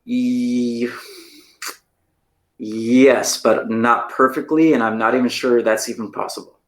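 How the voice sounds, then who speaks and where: A man's voice: medium pitch, fairly even intonation, takes it slowly, rough recording. An American man co-hosting a podcast, talking casually and telling stories with plenty of "like" and "right?". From United States. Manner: chatty, storytelling, lots of "like" and "right?". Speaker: podcast co-host. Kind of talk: casual chat. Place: lives in Taiwan.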